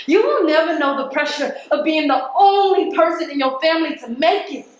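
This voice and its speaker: Actress playing defiant woman postal carrier, high-pitched, confrontational, colloquial, theatrical, heavily reverberant stage recording. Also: animated, fairly quick. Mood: hurt, bitter. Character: defiant, embittered working-class woman postal carrier from the projects. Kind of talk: stage play acting.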